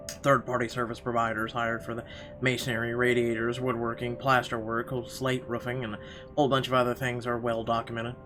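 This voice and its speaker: A man narrating a visual novel as a let's player, reading aloud a little haltingly with casual asides. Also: mid-range voice. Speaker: gamer reading the story aloud. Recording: game music clearly behind the voice.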